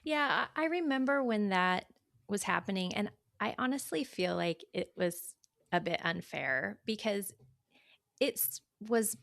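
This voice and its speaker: A woman's voice: medium pitch, animated, unhurried, creaky, fairly bright tone, no echo, clean studio sound. A woman co-hosting a podcast, chatting about books in a casual, reflective, conversational way. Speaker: podcast co-host. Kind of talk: casual book discussion.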